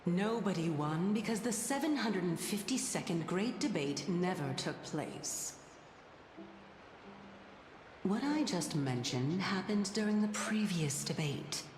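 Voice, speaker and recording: A woman's voice: lowish voice, slight background noise. A woman playing a mysterious, teasing sage in a video game, unflappable and coy, with languid theatrical delivery. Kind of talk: video game character acting. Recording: echoey long reverb under clear music.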